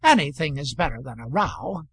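A man's voice: on the high side, animated, moderate pace, a bit bright, slightly rough, no echo, clean studio sound, exaggerated voice. A man voicing an earnest, conciliatory male traveller trying to settle a quarrel.

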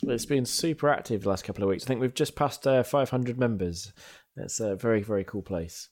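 A man's voice: medium pitch, brisk, a little mellow. A man hosting a tabletop game podcast, upbeat as he reads thanks and plugs to close the episode. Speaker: podcast host and player. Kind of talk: casual outro chat and sign-off.

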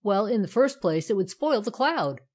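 A woman's voice: medium pitch, big pitch swings, moderate pace, darkish tone. A woman voicing a cheerful, smug male fireplace poker in a children's storybook, chatty and boastful, breaking into sing-song verse. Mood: jovial, chatty.

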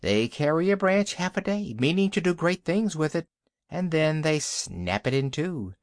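Man playing a proud, deliberate giant python. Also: on the high side, sing-song, no room sound, studio quality, pitched above the performer's own voice. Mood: scornful. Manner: deliberate, haughty, archaic phrasing. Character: huge old rock python, proud, brooding, scornful.

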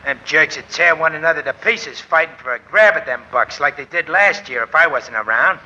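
An actor playing a mean, heavy-set skid-row boss with an American accent, talking in rough blustering slang in an old radio crime drama. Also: male voice, on the high side, lively intonation, a little fast, pushed and bright, lo-fi sound, heavily acted. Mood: blustering, defensive. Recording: noisy 1940s radio broadcast recording.

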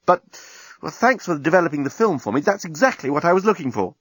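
Man voicing an excitable, high-pitched young woman. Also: fast, slightly rough, dry recording, full character voice. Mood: indignant. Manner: emphatic, exclaiming. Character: excitable, forceful young Earth woman, quick to flare up. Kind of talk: audiobook character voice.

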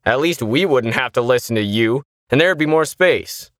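A man's voice: medium pitch, lively intonation, normal speed, a bit bright, dry recording, studio quality, voice pushed up, close to an everyday voice. A man voicing an annoyed male rideshare passenger, sarcastic and complaining, in an audio story.

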